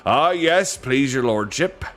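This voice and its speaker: A man's voice: mid-range voice, lively intonation, slow pace, a bit bright, pitched above the performer's own voice. A man doing Pug, a wheedling pirate slaver. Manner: wheedling, coarse, folksy. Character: greedy, wheedling male slave-dealer pirate.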